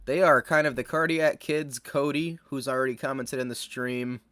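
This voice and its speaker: A man's voice: medium pitch, fairly even intonation, moderate pace, a bit bright, no room sound. A young American man chatting casually with his live-stream audience while calling plays in a football video game. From United States. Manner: chatty, upbeat, greets chat and calls plays. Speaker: gaming streamer. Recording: game music playing behind voice. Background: video game music.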